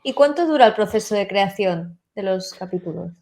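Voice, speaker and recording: A woman co-hosting an interview, lively and quick to react. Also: medium pitch. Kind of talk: interview chat.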